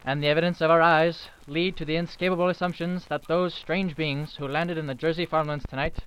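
A man's voice: fairly high pitch, fairly even intonation, brisk, a bit bright, clear, resonant tone, close to an everyday voice, low-quality recording. A man playing a grave, formal 1930s radio newscaster making a portentous announcement. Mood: grave, ominous.

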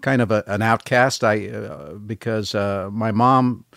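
An older man whose first language was French tells his story as a podcast guest. His voice sits very low and sounds dry and close. He pauses often to search for words. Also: vocal fry, a bit bright, no echo. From Bay Area, California. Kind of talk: personal life story in conversation.

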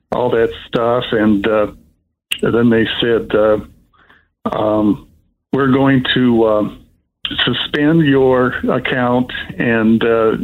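An older man, sixties or above, giving an unscripted, rambling personal account as a caller, in a halting way. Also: mid-range voice, phone-quality sound. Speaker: caller telling of being scammed.

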